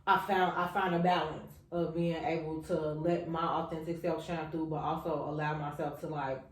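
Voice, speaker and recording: Casting note: woman podcast host, animated and loud when excited, laughs a lot, roomy echo. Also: sits low, normal speed. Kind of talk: casual podcast chat.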